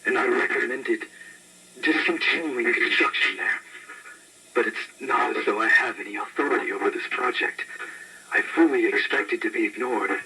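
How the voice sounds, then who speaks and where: A man playing a shaken archaeologist giving grim, halting testimony in a horror drama. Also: medium pitch, gravelly, close to an everyday voice, poor audio. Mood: grave, resolute. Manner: halting, grave, repeating himself. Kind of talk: horror monologue, recorded testimony.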